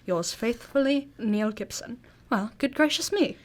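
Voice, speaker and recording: Woman acting as curious female detective's companion, slightly high voice, cheery, old-fashioned politeness, radio drama. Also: animated, unhurried. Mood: intrigued.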